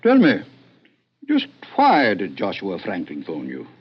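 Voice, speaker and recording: An actor playing a big, shady private detective boss in a radio drama, unctuous, courtly and formal in speech. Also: male voice, sits low, huge pitch swings, unhurried, husky, full character voice. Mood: probing, businesslike. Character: huge, shady, smooth-talking male private detective boss. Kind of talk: 1950s radio drama acting. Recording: muffled vintage radio recording.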